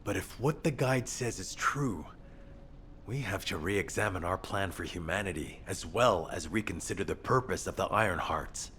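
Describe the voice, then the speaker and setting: Earnest game officer, low-pitched, reflective, serious, troubled, faint background noise. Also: male voice, normal speed, fairly bright tone. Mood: troubled, pensive. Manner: serious, reflective, thinking aloud. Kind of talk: video game voice acting.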